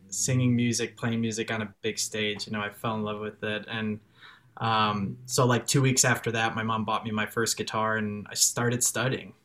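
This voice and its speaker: A man's voice: medium pitch, a little hiss or hum. A young man with a Chicago accent who grew up speaking French, a musician chatting casually as a guest over a video call, laughing often. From Chicago, raised in France till six. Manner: laughs often, restarts and fillers, relaxed.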